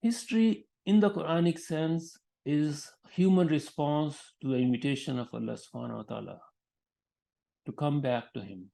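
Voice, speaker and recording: A man speaking off the cuff about religious education, pausing often, restarting his sentences and adding Arabic honorific phrases. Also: a little high, gravelly, dark tone. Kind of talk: unscripted talk on teaching.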